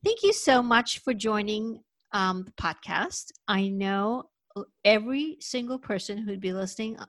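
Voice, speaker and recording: A woman hosting a podcast interview, earnest and warm in tone, with many fillers and restarts as she asks questions. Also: medium pitch, lively intonation, a little slow. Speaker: podcast host.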